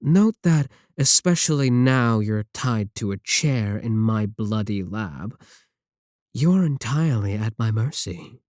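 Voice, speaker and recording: A man's voice: on the low side, expressive, takes it slowly, a little mellow, no echo, studio quality. A man playing a sneering villain scientist. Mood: mocking. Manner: taunting, sarcastic, condescending. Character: mocking male villain scientist, arrogant. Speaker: voice actor in audio roleplay. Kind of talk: ASMR roleplay audio.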